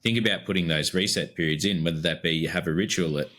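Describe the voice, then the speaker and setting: A man's voice: sits low, fast, fairly bright tone. An Australian man co-hosting a podcast, chatting casually and easily, with plenty of fillers as he rambles. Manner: easygoing, rambling, lots of fillers. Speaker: podcast co-host.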